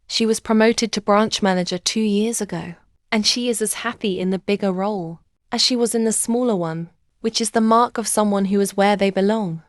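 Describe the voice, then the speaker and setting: A woman reading a first-person bedtime story aloud in a slow, gentle, reflective way. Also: mid-range voice, dry recording, clean studio sound. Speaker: narrator. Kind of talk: scripted first-person sleep story narration.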